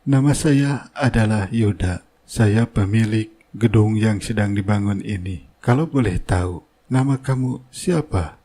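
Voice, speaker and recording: A man's voice: lowish voice, a little slow, mellow. A man voicing a courteous, authoritative wealthy building owner and father in a cartoon drama. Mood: formal, firm.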